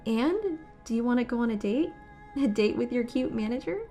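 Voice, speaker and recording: A woman acts a cute teenage girl team manager in a manga dub, with a high, youthful voice. She is teasing yet caring, over a music bed. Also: expressive, moderate pace, warm tone. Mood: playful, teasing.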